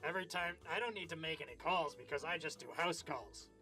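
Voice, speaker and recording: A performer playing Death as a petty, impatient customer in a comedy sketch, talking in a casual, halting, everyday way. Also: medium pitch, pushed and bright, faint music behind, lightly acted. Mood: grudging.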